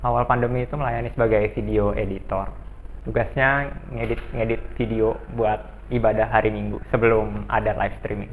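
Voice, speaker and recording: A man's voice: fairly low pitch, fairly even intonation, normal speed, slight background noise. A man in his twenties, a church video editor, speaks in a plain, matter-of-fact way. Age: 20s. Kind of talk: testimony interview answers. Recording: tinny phone-like sound.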